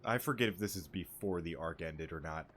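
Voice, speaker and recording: A man's voice: sits low, fairly quick, fairly bright tone, soft background music. A young man giving casual, rambling commentary while playing a game. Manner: rambling, filler-heavy. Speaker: streamer.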